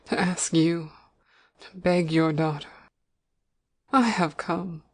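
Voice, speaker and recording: Imperious lady of the manor voiced by a woman, low and slow, cold, commanding, for storybook drama. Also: fairly even intonation. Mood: pleading, insistent. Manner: slow, stern, declamatory. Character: haughty, commanding aristocratic mother, cold and imperious. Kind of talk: dramatic audiobook reading.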